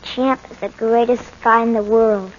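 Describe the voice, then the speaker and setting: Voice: medium pitch, flat delivery, moderate pace, natural voice. A performer playing a streetwise, plain-spoken young boy with slangy speech in a 1940s radio drama. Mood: casual. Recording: muffled, noisy old 1940s radio recording.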